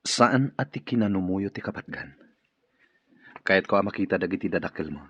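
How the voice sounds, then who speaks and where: A man's voice: low voice, animated, moderate pace, breathy edge, no echo, muffled recording. A man acting as an earnest, courteous male boss in an Ilocano radio drama. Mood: earnest, tender.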